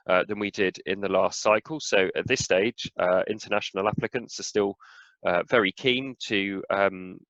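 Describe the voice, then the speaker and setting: Croaky man presenting with a cold. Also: fairly low pitch, a bit bright, no room sound, muffled recording. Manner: filler-heavy, frequent "uh" and "um". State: hoarse, getting a cold. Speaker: senior relationship manager giving an update. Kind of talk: presenting slides at a webinar.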